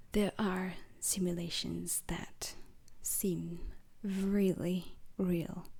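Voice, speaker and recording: A woman performing a whispered ASMR roleplay as a formal, grave veteran instructor lecturing space recruits, in a breathy, deliberate voice. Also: fairly low pitch, dark tone, full character voice.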